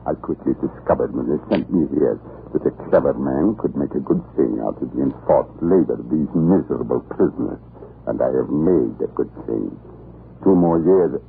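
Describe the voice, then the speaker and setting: An actor playing a cruel, scheming prison-island commandant in an old radio drama, gravelly, deliberate and menacing. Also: male voice, animated, full character voice. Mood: grim scheming. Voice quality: very deep, gravelly. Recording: muffled, noisy old radio recording.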